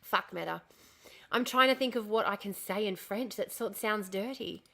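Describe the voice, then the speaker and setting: Bright-voiced woman, slightly hoarse. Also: medium pitch, normal speed. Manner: rambling, swears often, answers chat comments. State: losing her voice from illness. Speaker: livestream host. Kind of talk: casual livestream chat with viewers.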